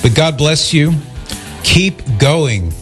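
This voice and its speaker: A middle-aged man hosting a call-in radio show, giving advice in a calm, measured way. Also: a little high, faint music behind.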